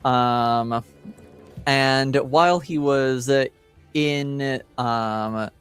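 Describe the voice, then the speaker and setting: Man recapping slowly over music. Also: medium pitch, smooth. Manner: halting, pausing often mid-sentence. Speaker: game master. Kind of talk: casual recap of a tabletop game session. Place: remote online stream call. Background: music playing underneath.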